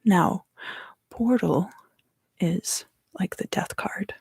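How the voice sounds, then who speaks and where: Hushed, husky woman whispering ASMR. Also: lowish voice, drawn-out words, no room sound, studio quality. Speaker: tarot reader and ASMR host. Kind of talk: whispered ASMR tarot reading.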